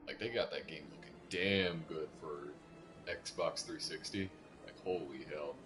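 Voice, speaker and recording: A man chatting casually on a live game stream, thinking out loud and greeting viewers. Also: rumbling low, a little slow, background noise. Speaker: game streamer and voice actor with an ASMR roleplay channel. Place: indoors, live gaming stream. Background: game music.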